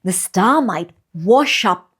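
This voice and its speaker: A woman reading a children's bedtime story aloud, with expressive, deliberate phrasing and drawn-out pauses for young listeners. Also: mid-range voice, breathy edge. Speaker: children's storyteller and channel host.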